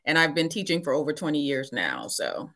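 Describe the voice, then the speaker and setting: A woman's voice: deep voice, wildly swooping pitch, fairly quick, gravelly, fairly bright tone. A woman in her forties from the Bahamas, a teacher and entrepreneur, talking casually on a podcast over a video call, explaining things plainly with fillers. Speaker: guest.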